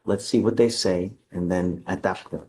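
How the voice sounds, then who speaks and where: A man speaking as a judge at a courtroom hearing, measured and deliberate, stern but patient. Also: low-pitched, fairly even intonation, darkish tone, low-quality recording.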